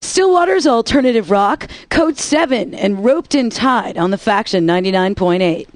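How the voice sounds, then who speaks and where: A woman's voice: a little high, lively intonation, normal speed, no room sound. A woman radio DJ with sassy, playful patter between songs.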